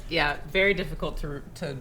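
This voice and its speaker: A woman's voice: deep voice, fairly quick, pushed and bright, slight background noise. A woman co-hosting a podcast, chatting casually and informally in group commentary. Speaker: podcast co-host. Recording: music playing behind. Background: music.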